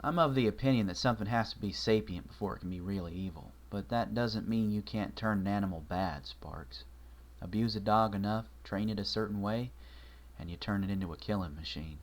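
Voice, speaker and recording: A calm, wry man with a low voice, read by a male narrator. He talks in a dry, measured, matter-of-fact way, like a steady companion in an audiobook. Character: calm, wry man comforting his friend.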